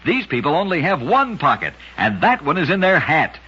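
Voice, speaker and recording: A man tells strange tales in the style of a vintage radio host, with a very raspy, forceful voice. His delivery is emphatic and dramatic, swinging widely in pitch. The recording is dull and old. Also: wildly swooping pitch, moderate pace. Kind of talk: scripted vintage radio storytelling of odd facts.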